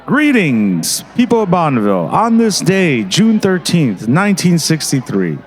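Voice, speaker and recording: A man's voice: a little high, a little mellow. A man playing a self-important small-town mayor chairing a meeting in an audio drama, with pompous, formal, declamatory delivery.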